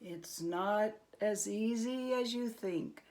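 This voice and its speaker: Woman as a slow, solemn rabbi. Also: medium pitch, a little mellow. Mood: solemn warning. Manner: solemn, measured. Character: grave rabbi giving a solemn warning. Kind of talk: acted children's storybook reading.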